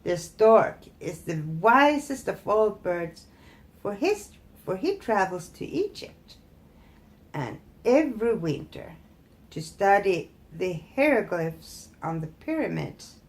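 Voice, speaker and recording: A woman storyteller narrating a fairytale in an expressive, deliberate way. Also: mid-range voice. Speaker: storyteller, host.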